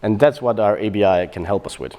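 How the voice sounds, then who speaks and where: A middle-aged man from Bosnia giving a technical conference talk on developer tooling, relaxed and explanatory, with frequent fillers and self-corrections. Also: on the low side, fairly quick. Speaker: software developer presenting.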